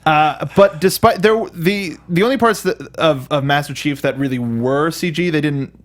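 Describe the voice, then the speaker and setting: A man's voice: on the high side, moderate pace. A man co-hosting a film review show, chatting casually and informally with an enthusiastic streak.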